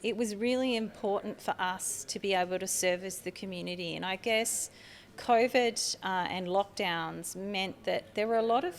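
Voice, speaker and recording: A woman's voice: mid-range voice, normal speed. A middle-aged Australian woman from Tasmania, a guest on a podcast interview, speaking earnestly with frequent fillers. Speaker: marketing manager guest.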